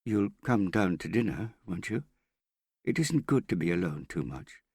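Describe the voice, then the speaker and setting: A man reads the part of a kindly young girl, timid and careful. The delivery is gentle, soothing and a little apologetic, in audiobook style with a dry, close sound. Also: deep voice, sing-song, moderate pace, gravelly, slightly rough, no echo, pitched above the performer's own voice, heavily acted. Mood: timidly concerned. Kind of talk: audiobook character acting.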